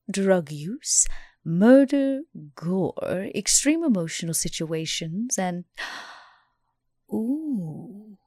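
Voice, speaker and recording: A woman giving a theatrical content warning in a slow, hushed, dramatic delivery with whispered phrases. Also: lowish voice, slightly rough, no room sound, studio quality.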